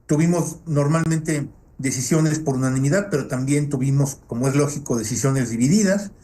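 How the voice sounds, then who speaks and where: A man being interviewed as a council president, answering formally and deliberately with frequent hesitations. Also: medium pitch, dark tone. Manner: formal, deliberate, with many "eh" fillers.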